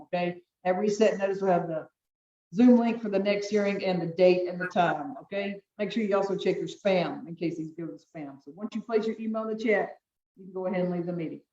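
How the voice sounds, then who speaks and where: A deep-voiced woman judge runs her calendar firmly and briskly, repeating instructions to each party. Her voice sounds distant and roomy, with dull, muffled video-call audio. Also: warm tone. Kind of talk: presiding over virtual court hearings.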